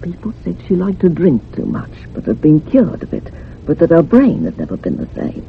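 An older housekeeper in a radio thriller, troubled and gossipy. Her creaky voice swings widely up and down as she rambles. The sound is thin and phone-like. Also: lowish voice, huge pitch swings, brisk, exaggerated voice. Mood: confiding, gossipy. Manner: rambling, dramatic, hushed at moments. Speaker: actor in a radio drama. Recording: muffled phone-like radio recording with hiss.

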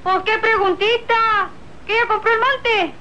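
A sassy young Mexican ranch woman, with a very high, bright voice. She is bold, sly and teasing in an old radio serial, with faint noise on the recording. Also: steady tone, measured pace, highs cut off, heavily acted. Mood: defiant. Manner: feisty, teasing. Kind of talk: old radio soap opera acting.

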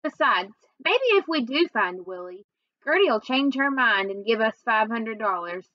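A woman's voice: fairly high pitch, expressive, a little slow, dry recording. A young girl in a children's storybook, acted by a woman reading aloud. She is guilty and hesitant, plain in her words, with a raised voice. The recording is dry and slightly muffled. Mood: eager, sheepish.

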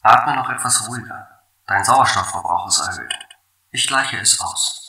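A man voicing a calm, polite station computer in a German radio play, measured, formal and matter-of-fact. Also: lowish voice. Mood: helpful. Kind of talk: radio drama acting. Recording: roomy, distant, faint noise.

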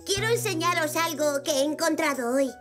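A woman's voice: high voice, fairly quick, lightly acted. A woman voicing a curious, imaginative five-year-old zebra boy in a children's cartoon, eager and wide-eyed. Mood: friendly. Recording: music behind.

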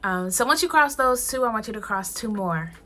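A woman's voice: a little high, animated, a little fast, pushed and bright. A young woman from Ghana, a poetry workshop teacher, speaks to students in a recorded online lesson, talking expressively and casually straight to them into a phone camera held at arm's length in a small room at home.

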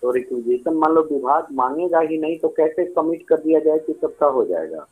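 A man's voice: fairly high pitch, lively intonation, fairly quick, slightly rough. A man answering a phone call as an official, in brief, matter-of-fact replies.

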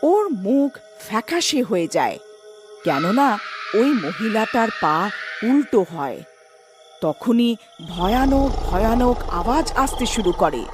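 Woman narrating a cartoon tale, high lively voice, music behind her. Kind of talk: scripted cartoon story narration.

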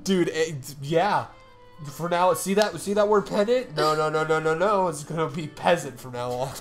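A man's voice: a little high, normal speed. A young man giving casual, crude and irreverent commentary as co-host of a gaming show. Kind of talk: casual joking game commentary. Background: video game music.

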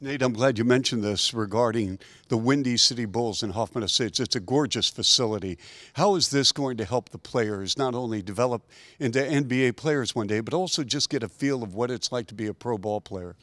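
A man's voice: mid-range voice, fairly quick, a bit bright, dry recording. A middle-aged man hosting a team TV interview, upbeat and polished, speaking into a handheld mic at an indoor media backdrop. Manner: upbeat, polished broadcaster delivery.

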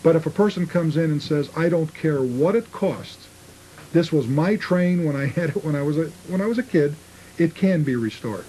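Mellow, higher-pitched man, slight noise. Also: expressive, moderate pace, rough recording. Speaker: model train restorer being interviewed. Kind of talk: informal interview answers.

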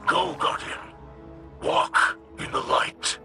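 A man voicing a solemn, devout alien warrior-mystic in a video game, with a breathy, gravelly whispering growl, speaking reverently. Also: slow, pushed and bright. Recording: music behind the voice.

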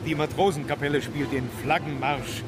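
An actor playing a lofty patriotic chronicler, declaiming florid, solemn prose in a historical radio play. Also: male voice, fairly high pitch, steady tone, moderate pace, heavy background noise, close to an everyday voice. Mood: lofty, proud. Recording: distant, under loud music.

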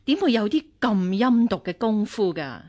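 Stern martial nun, animated delivery. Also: female voice, a little high. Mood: stern, probing. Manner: imperious, interrogating, deliberate. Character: stern, commanding Buddhist nun martial arts master. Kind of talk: Cantonese radio drama acting.

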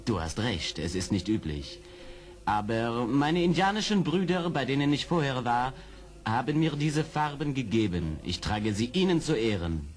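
An actor playing an earnest, determined army major in an audio drama, polite and articulate. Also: male voice, medium pitch, sing-song, normal speed, natural voice. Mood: courteous. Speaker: actor in a radio play. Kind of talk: 1970s German audio drama acting.

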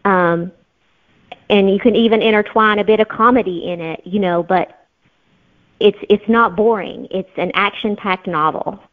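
A woman guest talks casually in an interview with a mid-pitched voice. Her sound is thin and tinny, like a phone line. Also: moderate pace. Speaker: podcast guest, fiction author. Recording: tinny phone-like call audio.

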